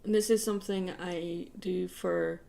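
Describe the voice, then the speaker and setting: A woman's voice: fairly low pitch, fairly even intonation, unhurried, creaky, noisy background. A woman chatting casually and playfully while livestreaming her artwork. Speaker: digital artist livestreaming.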